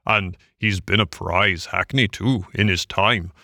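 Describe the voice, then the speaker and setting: A vain, boastful toad of a storybook, lower and slower than the narrator, pompous and wheedling, with a gravelly grain, as a man reading aloud puts him on. The sound is close and dry. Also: sharp tone, dry recording, full character voice.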